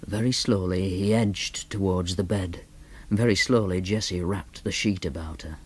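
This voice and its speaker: An actor playing an awkward young man, narrating flatly in the first person and grunting replies. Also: deep voice, moderate pace, breathy edge.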